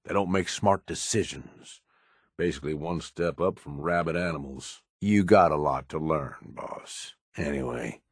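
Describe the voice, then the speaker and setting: An actor voicing a gruff, cynical middle-aged American raider lieutenant in a video game, blunt and profane with a folksy, slangy drawl. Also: male voice, rumbling low, no room sound, clean studio sound, exaggerated voice. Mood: cynical, advising. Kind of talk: video game companion dialogue.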